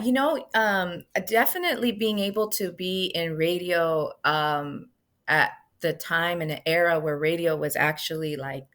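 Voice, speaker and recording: A middle-aged woman, a podcast guest, speaking conversationally and lively, slipping Spanish phrases into her English. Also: deep voice. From United States, of Mexican parents. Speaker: podcast guest, music manager. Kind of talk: conversational interview answers.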